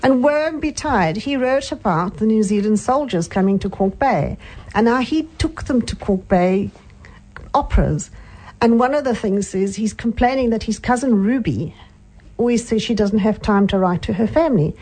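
Older woman, South African accent, husky, mellow, reminiscing interview guest. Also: medium pitch, animated, normal speed, low-quality recording. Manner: reminiscing, with soft hums of agreement. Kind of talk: casual interview chat.

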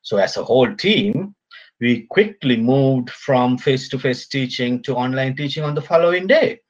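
A man from Sri Lanka, a polytechnic lecturer, speaking as a guest in a casual remote interview, halting with many fillers and restarts. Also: medium pitch, animated, lo-fi sound.

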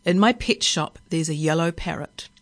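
A woman's voice: low voice, normal speed. A woman voicing a helpful, persuasive male pet shop owner in a storybook. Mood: coaxing.

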